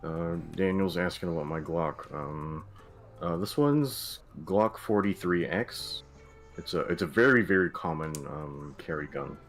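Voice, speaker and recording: A man's voice: low voice, animated, unhurried, soft background music. A man streaming a casual hobby session, talking loosely to his chat with lots of fillers. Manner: laid-back, rambling with many fillers.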